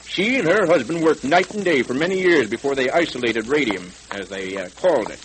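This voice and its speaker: Genial, folksy police captain. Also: male voice, mid-range voice, expressive, a bit bright, breathy edge. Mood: fondly explaining. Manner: avuncular, explanatory, hesitant pauses. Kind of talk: vintage radio serial acting. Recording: crackly old radio recording.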